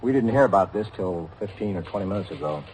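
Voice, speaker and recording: A man's voice: low-pitched, animated, fast talker, darkish tone. An actor playing a dutiful, plain-spoken city patrolman in an old-time radio detective drama. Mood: matter-of-fact. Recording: noisy vintage radio drama recording.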